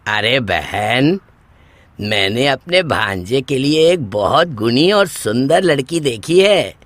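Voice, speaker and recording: A man voicing a cajoling, earnest middle-aged matchmaker uncle in a cartoon story, with exaggerated, drawn-out delivery. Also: mid-range voice, lively intonation, fairly bright tone, no echo. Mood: eager. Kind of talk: animated moral story acting.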